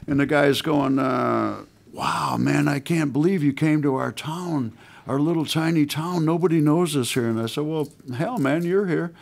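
A man's voice: a little high, moderate pace, vocal fry, a little hiss or hum. A man in his sixties from the United States, a guest musician chatting casually in a small radio studio, laid-back and peppering his talk with "man" and "you know". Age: 60s. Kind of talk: casual radio interview chat. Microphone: stand mic at guitar.

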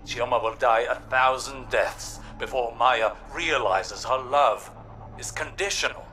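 An actor playing an earnest, philosophical ally who gives grave, poetic counsel in dramatic scripted game dialogue. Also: male voice, medium pitch, a little slow, sharp tone, faint music behind. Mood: earnest, mournful.

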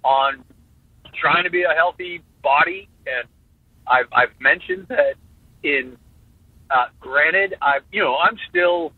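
A man in his fifties talks in an animated, joking way, often restarting his sentences. His voice sits high and swoops around, with a husky edge. It comes through a tinny, phone-like line with no room sound. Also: no echo. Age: 50s. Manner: animated, halting restarts, jokey. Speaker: guest drummer. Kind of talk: casual interview talk.

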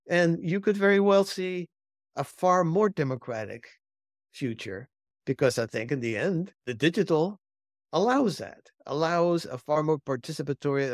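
A man's voice: mid-range voice, dry recording, studio quality. A man speaking as an interview guest, offering reflective, opinionated political analysis in a conversational way.

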